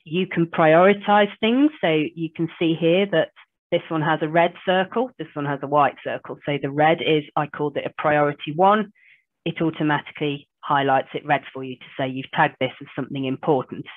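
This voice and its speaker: A woman, hoarse from a cold, giving an online business training webinar and chatting through software demos on a video call. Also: low-pitched, moderate pace, no echo. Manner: chatty, self-deprecating explainer walking through screen demos. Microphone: video call webcam. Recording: tinny phone-like call audio.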